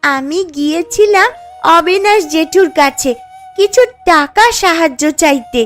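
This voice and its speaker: A woman's voice: very high-pitched, measured pace. An actress playing a troubled, pleading poor village girl in a cartoon story, plaintive and hesitant. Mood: worried pleading. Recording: music under the voice.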